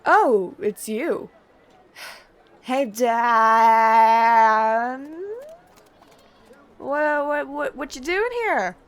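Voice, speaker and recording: A young woman playing a cheeky, chaotic prankster muse in a fantasy audio drama, loud and slangy, with sudden excited jumps in pitch. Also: very slow. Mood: startled. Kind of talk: fantasy audio roleplay acting.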